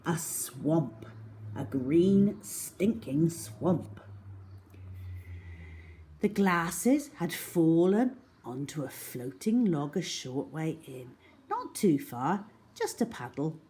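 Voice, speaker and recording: A woman reads a children's story aloud at a slow, deliberate pace. Her voice sits a little low for a woman and moves up and down a great deal as she narrates. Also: expressive, warm tone.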